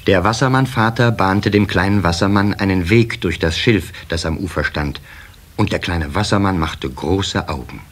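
A man narrating a children's radio play in a measured storyteller's delivery. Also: sits low. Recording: slightly hissy old cassette recording.

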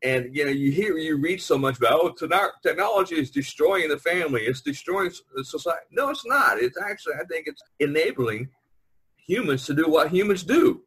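A middle-aged man with a husky, slightly rough voice of ordinary pitch. He talks casually on a group video call, circling back and rephrasing as he goes. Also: lively intonation, a little fast, fairly bright tone, rough recording. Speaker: podcast host. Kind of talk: casual group chat among friends. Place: online video conference call.

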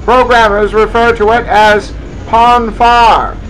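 A man's voice: high-pitched, level tone, takes it slowly, fairly bright tone, a little hiss or hum, rough recording. A man narrating a parody old-time newsreel in a mock-grandiose announcer delivery.

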